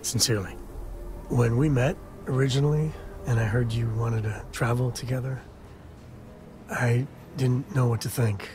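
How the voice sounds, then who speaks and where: An actor playing a rugged, bashful space cowboy and affectionate father in intimate video game dialogue, speaking haltingly and sincerely. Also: mid-range voice, fairly even intonation, natural voice. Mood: sincere, grateful. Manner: halting, earnest, sheepish. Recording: music behind the voice.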